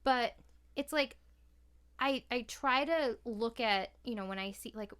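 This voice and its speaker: A woman with a fairly high, smooth and clear voice talks casually as a podcast guest. She gives her views informally and swears freely. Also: a little slow, fairly bright tone. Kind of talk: casual opinionated chat.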